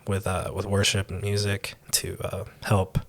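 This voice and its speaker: A young man who grew up abroad, answering interview questions as a church intern, with many pauses and restarts. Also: low-pitched, completely flat delivery, gravelly, fairly bright tone. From raised in Taiwan, born in the United States. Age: young adult. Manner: hesitant, many ums and restarts.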